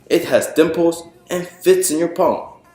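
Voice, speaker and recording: A man reading a picture book aloud to an audience in a clear explanatory, presentational way, putting questions to the listeners. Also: a little high, measured pace, mellow, echoey room. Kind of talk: storytime read-aloud to an audience.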